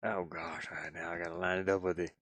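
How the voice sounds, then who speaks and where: A man's voice: low-pitched, level tone, quick pace, a bit bright. A man streaming casually, rambling and muttering to himself as he thinks aloud while he works on screen. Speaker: game-streaming host and hobby game designer. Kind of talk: casual livestream chat while working on screen.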